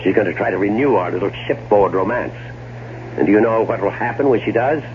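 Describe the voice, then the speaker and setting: Radio drama: male actor as bitter, scheming American man, fairly low, theatrical, on a noisy old broadcast. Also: fast, phone-quality sound, lightly acted. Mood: angry, sarcastic. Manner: theatrical, sarcastic, stammers when cornered. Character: scheming, irritable man, bitterly sarcastic older-brother type.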